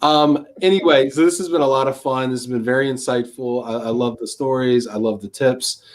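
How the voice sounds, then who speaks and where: A man's voice: medium pitch, steady tone, a little fast. A man hosting a live online panel, reading audience questions and laughing at his own slips over a video call. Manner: stumbling, self-deprecating, laughs at himself. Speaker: host.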